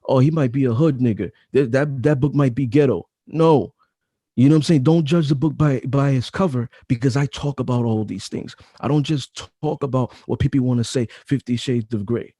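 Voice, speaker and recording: Deep-voiced man, dark mellow tone. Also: normal speed, slightly rough, dry recording, clean studio sound. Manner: emphatic, repeats phrases, slangy. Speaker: author and guest. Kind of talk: casual interview talk. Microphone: home mic setup.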